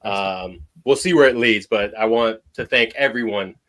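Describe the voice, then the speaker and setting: A man's voice: lowish voice, normal speed, fairly bright tone. A young man co-hosting a sports podcast, talking in a laid-back, casual way. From half Mexican, half Persian heritage. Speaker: podcast co-host.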